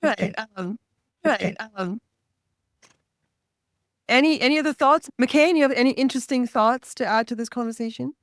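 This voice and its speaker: Host, woman, bright-pitched and brisk, running Q&A. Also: no echo, studio quality. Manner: brisk, calls on people. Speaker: host moderating questions.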